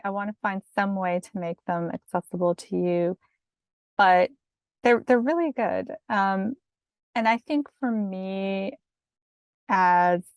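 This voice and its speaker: A calm, soft-spoken woman giving chatty career advice over slides, with fillers and little laughs. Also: mid-range voice, clean tone, no room sound. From family from Mexico. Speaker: academic career coach. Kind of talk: casual instructional talk over slides.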